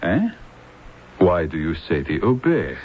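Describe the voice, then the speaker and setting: An actor playing a commanding, persistent police lieutenant in a radio drama, with a French accent and deliberate, formal phrasing. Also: male voice, low-pitched, big pitch swings, husky, full character voice. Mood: coaxing, pressing. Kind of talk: vintage radio drama acting. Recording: dull, noisy old radio recording.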